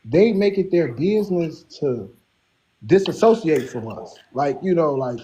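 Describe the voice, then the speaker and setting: A man's voice: fairly high pitch, lively intonation, a little slow, dark tone, breathy edge, low-quality recording. Earnest man calling in. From Chicago, USA. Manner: earnest, rambling, restarts often. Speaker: caller. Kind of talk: casual call-in talk.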